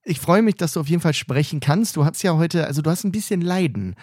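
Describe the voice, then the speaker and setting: A man's voice: fairly high pitch, quick pace, a little mellow, no echo, clean studio sound. Joking man co-hosting a podcast. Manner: joking, teasing. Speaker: podcast co-host. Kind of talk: casual banter podcast. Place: training camp room.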